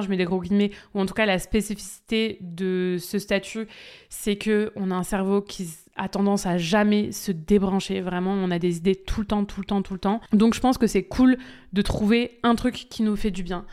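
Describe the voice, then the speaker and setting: A young woman speaks in a smooth, clear voice at a middle pitch. She gives spontaneous, direct answers on a casual solo podcast and fills her talk with hesitations. She addresses listeners like a business coach. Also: darkish tone. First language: French. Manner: chatty, direct, many fillers, talks straight to listeners. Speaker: podcast host, social media business coach.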